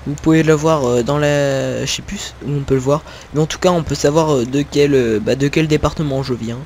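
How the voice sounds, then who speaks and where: A young man from northern France, speaking in a fairly high voice over a desktop mic. He rambles through casual gameplay commentary, full of hesitations and small laughs at himself. A faint hum of a tractor engine sits in the background. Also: fairly even intonation, a little fast, a little mellow. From Picardy, northern France. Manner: chatty and rambling, many fillers, laughs at himself. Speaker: gaming video creator.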